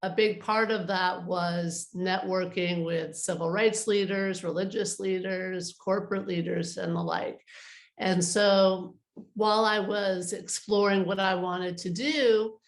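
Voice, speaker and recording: A woman's voice: mid-range voice, steady tone, unhurried. Middle-aged woman in an echoey room. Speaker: interview guest, artist advisor. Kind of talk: casual conversational interview.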